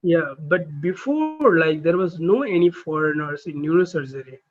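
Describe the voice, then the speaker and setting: A man who is a neurosurgery trainee, chatting on a video call and asking halting, filler-heavy questions. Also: a little high, lively intonation, muffled recording. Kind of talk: casual video call chat.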